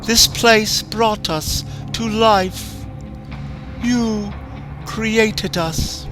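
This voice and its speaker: A man voicing a sadistic, playful female clown who eats her victims, speaking in a soft, teasing, sing-song way over background music. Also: high voice, very slow, noisy background, voice pushed up. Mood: sadistic glee. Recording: music bed under voice.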